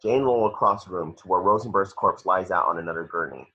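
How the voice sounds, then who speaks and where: A man's voice: deep voice, fast, bright, forceful tone, husky. A man reading scripted action descriptions as narrator for a table read, on a muffled home recording.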